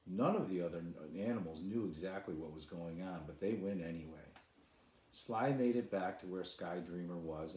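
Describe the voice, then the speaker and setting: Low-voiced man reading a storybook, echoey. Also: darkish tone. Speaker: author reading his own children's book. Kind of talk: storybook narration. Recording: echoey room.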